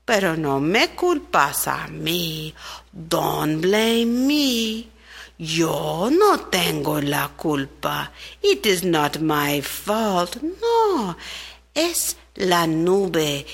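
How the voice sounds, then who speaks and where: A woman voicing the rain as a calm, slow-spoken figure who passes the blame onward. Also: fairly low pitch, vocal fry, heavily acted. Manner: slow and measured.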